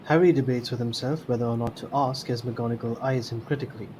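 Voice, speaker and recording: A man's voice: medium pitch, steady tone, fast talker, darkish tone. A man reading scripted narration for an audio drama. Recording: slightly noisy home mic.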